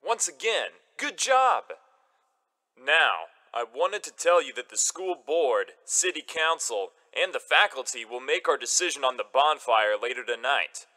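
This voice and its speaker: A man's voice: medium pitch, very animated, unhurried, pushed and bright. A man playing a formal, encouraging school principal making an announcement in an audio drama.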